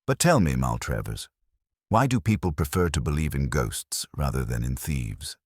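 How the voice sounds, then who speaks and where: A shrewd, unruffled police inspector as a male narrator reads him in a mystery story. He speaks mildly and with dry understatement, in a very deep, husky voice. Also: very animated, normal speed, no room sound, full character voice. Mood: quietly probing. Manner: mild, dryly understated.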